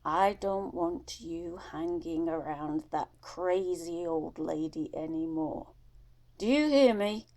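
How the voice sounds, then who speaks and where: A woman's voice: lowish voice, slow pace, darkish tone. Stern scolding father, voiced by a woman narrator. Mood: stern warning. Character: stern, gruff father laying down the law. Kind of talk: acted audiobook dialogue.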